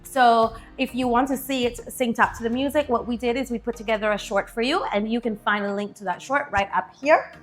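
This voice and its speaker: A young woman with a light Spanish accent chats through a pole dance lesson in a friendly, easygoing way. Her voice sits a little high. Soft music plays faintly underneath. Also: steady tone, brisk, few pauses. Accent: Spanish-influenced English. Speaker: pole dance instructor, co-host. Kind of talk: casual tutorial chat.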